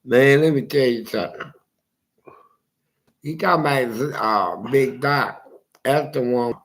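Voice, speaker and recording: A man with a gravelly voice telling a story casually, in animated, profane street talk and acting out the dialogue. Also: mid-range voice, measured pace. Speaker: interviewee telling his life story.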